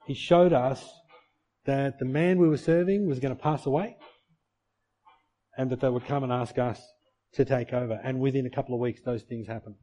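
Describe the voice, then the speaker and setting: A man's voice: mid-range voice, normal speed, long pauses, dark tone, poor audio. A middle-aged male pastor preaching a sermon to his church, earnest and full of rhetorical questions.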